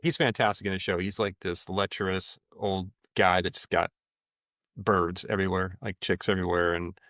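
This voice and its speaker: Low-voiced man hosting a podcast. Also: normal speed, no room sound, studio quality. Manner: rambling, relaxed, swears casually. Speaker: podcast host. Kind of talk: casual chat.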